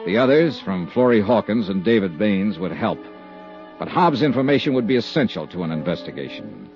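A low-voiced, hard-boiled radio detective. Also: male voice, sing-song, fairly quick, music in the background. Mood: brooding narration. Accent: American. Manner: clipped, matter-of-fact, probing. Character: hard-boiled, persistent male insurance investigator narrating his case. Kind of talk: 1950s radio detective drama acting. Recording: dull vintage 1950s radio recording.

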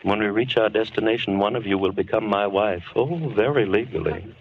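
An actor playing a courteous, lonely madman holding captives, speaking in a calm, formal, deliberate way in an old-time radio horror play. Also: on the low side, lightly acted. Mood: calm, sinister. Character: soft-spoken menacing madman, lonely, courteous male captor. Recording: noisy, tinny old-time radio recording.

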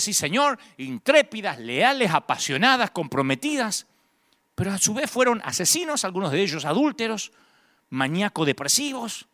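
A man's voice: high-pitched, pushed and bright. A man preaching in a conversational evangelical style, emphatic and rhetorical, repeating key phrases for effect, with wry asides.

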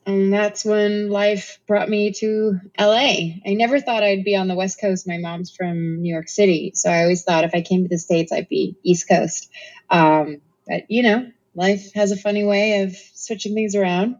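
A woman from Norway, an actress talking as a guest in a casual interview, chatty and quick to laugh, with many ums. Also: mid-range voice, fairly even intonation, a little mellow. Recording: slightly muffled recording.

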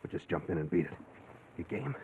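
An actor playing a terse, cynical, wisecracking young fugitive in an old-time radio drama. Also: male voice, low voice, lively intonation, brisk, darkish tone. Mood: impatient. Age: young adult. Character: fast-talking, cynical young fugitive drifter. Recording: tinny, noisy vintage radio recording.

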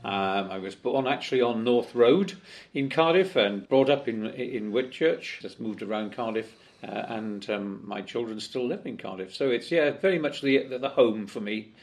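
Older man, gravelly voice, interview guest, rambling with fillers. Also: medium pitch, normal speed. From Wales. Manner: chatty, with many fillers and restarts. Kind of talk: conversational interview. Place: office in Parliament.

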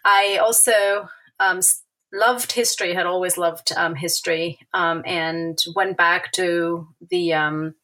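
Argentine woman guest, Spanish first language, lower bright voice, thoughtful with lots of ums. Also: fairly even intonation, slow pace. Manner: thoughtful, many hesitations and fillers. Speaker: guest author, historian. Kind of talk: academic interview.

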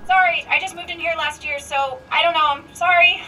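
A woman's voice: very high-pitched, steady tone, fairly quick, pushed and bright. An actress playing a polite young female tenant in an audio drama, hesitant and apologetic, heard through an apartment intercom. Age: young adult. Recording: distant, faintly noisy intercom speaker.

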